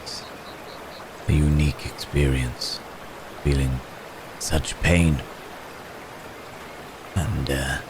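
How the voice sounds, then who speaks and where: A man voicing a gentle, remorseful wounded werewolf in an intimate ASMR roleplay, speaking haltingly and formally, as if in pain. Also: a bass voice, fairly even intonation, background noise, exaggerated voice. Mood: haunted, remorseful. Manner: halting, hesitant, soft-mannered storytelling with formal wording. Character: wounded male werewolf, gentle, remorseful, recounting his escape.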